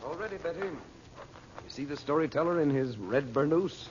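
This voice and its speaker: A man's voice: fairly high pitch, expressive, moderate pace, lightly acted. An actor playing a kindly, protective uncle in an old radio drama, speaking in a reassuring, fatherly manner. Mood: tender. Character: kindly, steady American uncle, gently protective. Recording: dull, noisy old radio recording.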